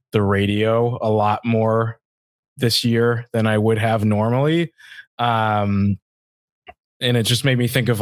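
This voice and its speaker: A man with a fairly deep voice talks sports as a podcast co-host. His delivery is casual and reflective, like a conversation. The sound is very dry, with no room to it. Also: dry recording.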